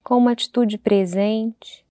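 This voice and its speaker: A Brazilian woman leading a guided meditation in a gentle, unhurried way, leaving long pauses between phrases. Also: a little high, steady tone, dry recording.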